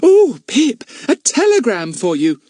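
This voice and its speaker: Man doing a high, wailing little girl for a children's story, very lively. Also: unhurried, slightly rough, no room sound, studio quality, heavily acted. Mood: excited. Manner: wailing, excitable. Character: small, emotional young girl.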